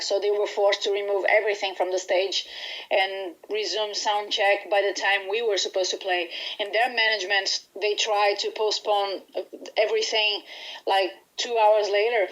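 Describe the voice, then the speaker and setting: A woman's voice: medium pitch, a bit bright, low-quality recording. Chatty Brazilian woman in an interview. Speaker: band musician being interviewed. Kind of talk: casual interview chat.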